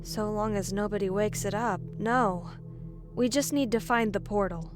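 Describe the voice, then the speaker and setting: A woman's voice: medium pitch, moderate pace, lightly acted. A woman playing a serious, commanding swordswoman who leads a party in an amateur audiobook drama. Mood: calm, serious. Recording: loud music bed.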